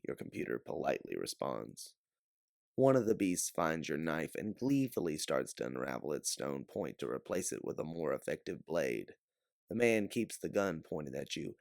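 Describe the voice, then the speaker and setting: A man with a deep, gravelly voice reads a sci-fi adventure story. His delivery is theatrical and measured, with lively swings in pitch. The recording is close and dry. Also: no echo. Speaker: audiobook narrator. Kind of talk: dramatic second-person sci-fi story narration.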